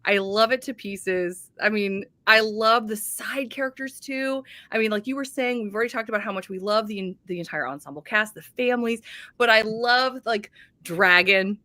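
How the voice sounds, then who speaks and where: A woman's voice: mid-range voice, normal speed, sharp tone, no room sound. A woman co-hosting a book readalong, chatting effusively and casually and repeating herself for emphasis. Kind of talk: enthusiastic casual book chat.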